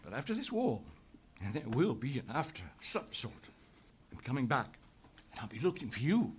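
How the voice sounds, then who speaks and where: A man's voice: medium pitch, sing-song, moderate pace, slightly rough. An actor in a live radio play playing an earnest young Englishman who speaks tenderly to the woman he loves. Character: earnest devoted young Englishman, steadfast suitor.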